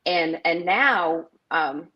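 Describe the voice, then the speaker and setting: Chatty middle-aged woman, low voice. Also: animated, very slow, slightly rough, muffled recording. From northern Minnesota. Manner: chatty, rambling with fillers. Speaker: festival director, guest. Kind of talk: casual interview chat.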